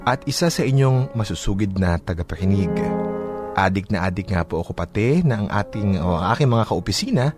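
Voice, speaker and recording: Casting: male radio letter reader, fairly low voice, scripted storytelling delivery over clearly audible music. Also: expressive, a little fast. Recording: clear music bed under voice.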